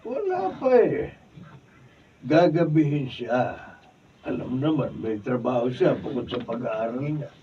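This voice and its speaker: An older Filipino father in a radio drama, concerned and gravelly. The sound is dull and distant, with room noise. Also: medium pitch, sing-song, unhurried, dark tone. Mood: grave, probing. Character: concerned father of a grown student, older man. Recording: muffled, distant mic, noisy room.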